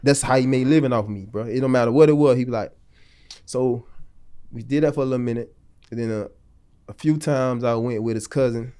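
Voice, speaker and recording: A man's voice: medium pitch, fairly quick, dark tone, clear, resonant tone. Laid-back man telling street stories. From North Florida. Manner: loose, slangy and rambling, with frequent restarts. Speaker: podcast guest. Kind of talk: casual storytelling interview.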